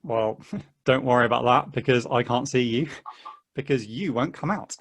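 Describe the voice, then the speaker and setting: A man's voice: mid-range voice, a little hiss or hum, lightly acted. Man acting an earnest, friendly, chatty hiker. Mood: friendly, enthusiastic. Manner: halting, polite, chuckly, eager. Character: earnest, chatty, friendly male hiker, eager to befriend everyone. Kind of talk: stage play table read.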